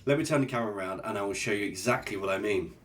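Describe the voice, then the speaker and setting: A man's voice: fairly low pitch, rapid-fire, fairly bright tone, a little hiss or hum. A man hosting a casual drawing vlog, chatty and jokey as he sketches and talks. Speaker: art channel host. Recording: guitar music playing underneath. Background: guitar music.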